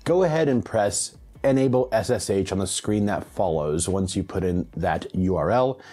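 A man around his late thirties or forties, presenting a scripted audio tech tutorial with clearly enunciated, step-by-step delivery. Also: low voice, expressive, normal speed, warm tone, faint music behind. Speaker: host of a hi-fi audio channel. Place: small home room, indoors.

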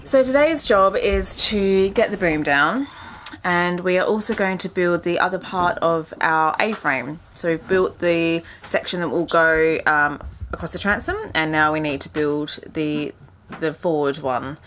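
A woman's voice: on the low side, unhurried, fairly bright tone. A woman in her thirties hosting a sailing vlog, chatting casually and thinking aloud from the cockpit of a sailboat. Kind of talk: casual chat while sailing. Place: outdoors on a sailboat cockpit at sea. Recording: clear wind noise outdoors.

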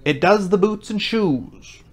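Man as a brusque, high-pitched, bossy Gryphon. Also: big pitch swings, moderate pace. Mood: impatient. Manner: emphatic, exclamatory delivery. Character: brusque, impatient Gryphon, bossy mythical beast. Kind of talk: storybook character voice.

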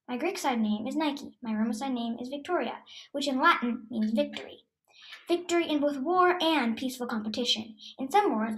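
A young girl playing a proud winged Greek goddess of victory, reciting a rehearsed school monologue in a measured way. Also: high voice. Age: child or young teen student. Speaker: student performer. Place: indoors before a dark cloth backdrop.

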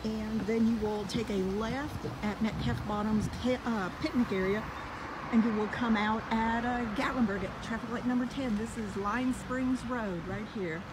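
A woman's voice: a little high, steady tone, moderate pace, noisy background. Chatty woman on a walking tour. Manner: chatty, enthusiastic, giving directions. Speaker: vlogger touring a glamping site. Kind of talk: casual walking tour vlog. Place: outdoors at a rural mountain campsite. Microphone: phone held while walking.

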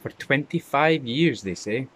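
A young man vlogging by a rocky shore and in a sea cave, talking into a handheld selfie camera, shifting between dramatic hushed storytelling and loud exclamations. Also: medium pitch, animated, measured pace, a little hiss or hum. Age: young adult. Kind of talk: excitable travel vlog.

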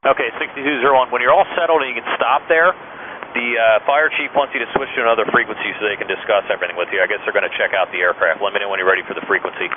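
Male tower controller, brisk and relaxed, rapid delivery over a tinny radio with static. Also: mid-range voice. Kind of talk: air traffic radio calls.

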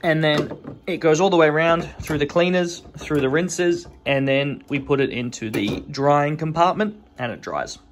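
A man's voice: on the high side, normal speed, slight background noise. A man in his thirties, a watchmaker, chatting casually through a tour of his small workshop with lots of "uh" and "um", recorded on a phone held close. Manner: relaxed, rambling with many fillers. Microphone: phone camera held close.

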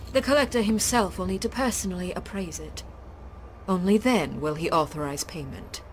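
Game role: icy businesslike envoy, formal and condescending, animated phrasing, voiced by a woman. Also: medium pitch, lightly acted. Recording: game audio with clear ambient noise.

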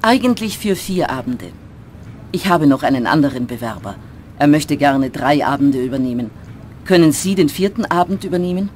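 A woman voicing a lively businesslike office manager. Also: low-pitched, normal speed, a little hiss or hum. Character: woman running a travel agency office. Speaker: employer in textbook dialogue. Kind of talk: scripted textbook dialogue.

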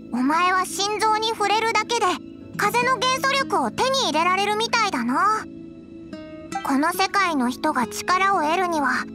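A woman voicing a small, cheerful floating fairy guide in a Japanese anime game, with a childlike voice and boyish wording. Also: very high-pitched. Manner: rough boyish wording in a cute voice. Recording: music under the voice.

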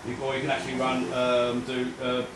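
An Australian man walks a crowd through a live product demo, pausing often with ums and restarting his sentences. He is recorded in a roomy, echoey shop, with some background noise from the crowd. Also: mid-range voice, completely flat delivery. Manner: hesitant, full of ums and restarts. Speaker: product demonstrator. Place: crowded store at a product night.

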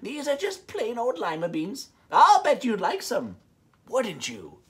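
A man voicing a kindly, gentle old woman from a storybook in a coaxing manner. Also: very high-pitched, wildly swooping pitch, a little slow, slightly rough, pitched above the performer's own voice, heavily acted.

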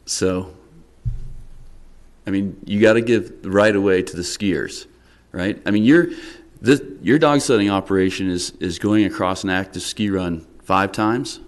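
A middle-aged man, a town council member, questioning a business owner at a public work session, measured and repeating words as he presses his point. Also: low-pitched. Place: town council meeting room, indoors. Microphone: desk microphone.

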